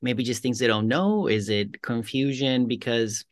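A man's voice: mid-range voice, unhurried, dry recording, studio quality. A man hosting a podcast, asking friendly questions and rephrasing them as he goes. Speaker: podcast host. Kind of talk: casual interview hosting.

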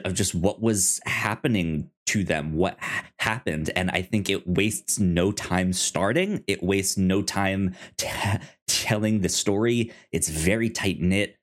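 Low-pitched man, halting podcast host. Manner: halting, stammering with repeated word starts. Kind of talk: casual review chat.

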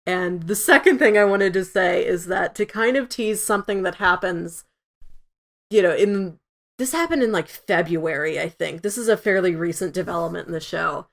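A woman's voice: fairly low pitch, normal speed, vocal fry. A woman hosting a podcast, explaining her opinions in an enthusiastic, casual conversational way. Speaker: podcast host. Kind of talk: casual review discussion.

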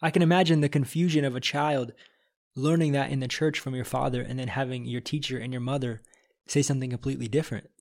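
A man's voice: mid-range voice, steady tone, quick pace. A man hosting a podcast interview from a studio, an enthusiastic, encouraging interviewer. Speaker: podcast host.

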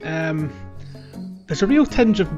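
A man with a fairly high voice chats casually as a podcast co-host about a video game. The audio is a little dull and lo-fi, with faint music underneath. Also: expressive, slow pace.

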